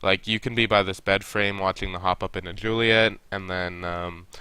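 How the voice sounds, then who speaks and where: Deep bright-voiced man with a stuffy nose. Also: moderate pace, smooth, dry recording, poor audio. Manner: relaxed, coaching, talks to players directly. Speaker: esports coach reviewing a match. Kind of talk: casual game review commentary.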